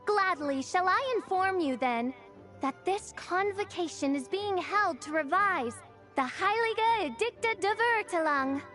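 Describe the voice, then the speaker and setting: A woman's voice: very high voice, animated, measured pace, a bit bright, faint music behind, heavily acted. A woman playing a grandiose teenage girl who styles herself a princess, declaiming in archaic, theatrical English for an anime game. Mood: grand, proud. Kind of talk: English-dubbed anime game acting, archaic florid speech.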